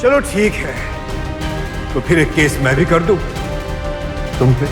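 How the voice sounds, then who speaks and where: A man's voice: a little high, sing-song, slow pace. An actor playing a stern, proud, wealthy old grandfather in an audio drama, with a commanding, imperious manner. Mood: cold, threatening. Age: older. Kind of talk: Hindi audio drama acting. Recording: background music under the voice.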